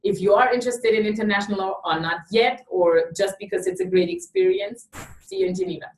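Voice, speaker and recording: A woman's voice: mid-range voice, expressive, fast talker, roomy sound, distant mic, a little hiss or hum, rough recording. A Swiss woman, English not her first language, hosting an interview and encouraging students to join a summer abroad program. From Switzerland. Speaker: study abroad program host. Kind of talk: promotional interview.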